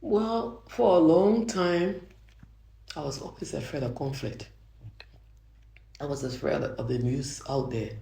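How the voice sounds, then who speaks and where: An older Liberian woman speaks with a soft, gravelly voice, mellow in tone. She tells her story earnestly, restarting phrases and pressing her points home. The room around her gives a clear echo. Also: low voice, takes it slowly, long pauses. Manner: earnest, frequent restarts, grows emphatic. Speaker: judge. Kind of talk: interview answers, reflective storytelling. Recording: roomy echo.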